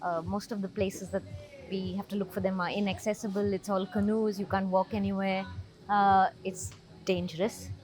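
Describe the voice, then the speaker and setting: A woman with a medium-pitched voice. She explains her field in a plain, emphatic, informal way as an interview guest. Faint music plays behind her. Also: flat delivery, normal speed, a little mellow. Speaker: wildlife researcher, interview guest.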